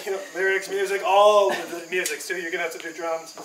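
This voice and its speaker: A man's voice: sits high, fairly even intonation, fast talker. A man in his thirties joking and chatting casually while working on a timber frame in an open workshop. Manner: joking, teasing banter. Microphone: far camera. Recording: roomy, distant, with loud rain and shop noise.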